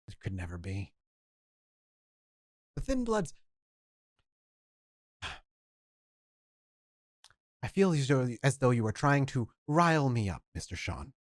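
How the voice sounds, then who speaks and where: A storyteller voicing a condescending, genteel male vampire elder who speaks softly and patronizingly. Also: medium pitch, big pitch swings, slow pace, long pauses, dry recording, studio quality. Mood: irritated. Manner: patronizing, soft-spoken.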